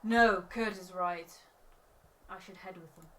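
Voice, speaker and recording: A woman's voice: on the low side, a little slow, fairly bright tone, a little hiss or hum. A woman in a game playthrough, chatting casually and reading the game's text aloud. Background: game music.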